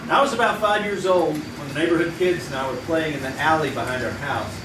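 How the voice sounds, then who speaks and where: A man plays a middle-aged friend telling a campfire story in a folksy, animated way. His voice sits fairly high. It was caught live in an echoey theater from some distance, with audible room noise. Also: fairly quick, lightly acted. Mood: lively. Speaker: campfire storyteller in a radio play. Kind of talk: live old-time radio play acting.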